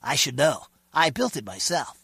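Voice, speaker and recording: A man's voice: on the high side, big pitch swings, moderate pace, vocal fry, bright, forceful tone, slightly rough, no room sound, studio quality, exaggerated voice. An actor playing a pompous, fussy male scientist in a video game, speaking with pedantic precision. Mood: smug.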